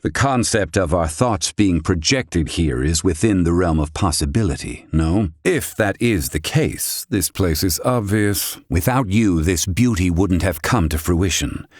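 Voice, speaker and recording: A proud, gruff but kindly older mage from a fantasy video game. His voice sits low and speaks in stately, bookish sentences that often end on a clipped questioning tag. The sound is dry, with no room around it. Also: animated, measured pace, hardly any pauses, no echo. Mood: thoughtful, earnest. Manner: formal, wordy, archaic phrasing with clipped questioning tags. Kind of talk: English-dub video game voice acting.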